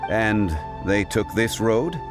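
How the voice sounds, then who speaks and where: A low-voiced, composed gentleman. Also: expressive. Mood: pondering. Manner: formal, measured wording. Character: composed, scholarly gentleman, calm and deliberate. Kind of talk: video game voice acting. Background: music.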